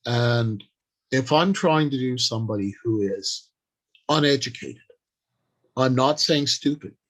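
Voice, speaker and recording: A man giving an informal instructional talk on writing, speaking deliberately in short, halting phrases with pauses. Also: medium pitch, a bit bright. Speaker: writing workshop presenter.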